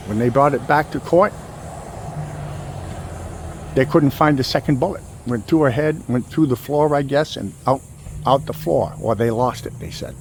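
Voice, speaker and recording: A man telling a true crime story informally on location, in halting, dramatic short phrases. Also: mid-range voice. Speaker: host of a cemetery exploring channel. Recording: clear vehicle and ambient noise.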